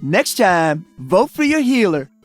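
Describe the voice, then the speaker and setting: Upbeat cocky young dj hero in a game, breezy slang, super animated, music under it. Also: male voice, high-pitched, takes it slowly, full character voice. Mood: playful, teasing. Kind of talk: video game character voice lines.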